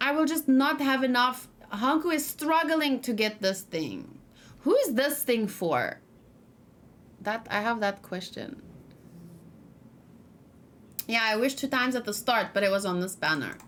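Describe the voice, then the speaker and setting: A woman gaming streamer with a fairly high voice gives casual let's play commentary. She is chatty, thinking out loud in loose, informal sentences. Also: expressive, unhurried, long pauses. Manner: chatty, musing aloud.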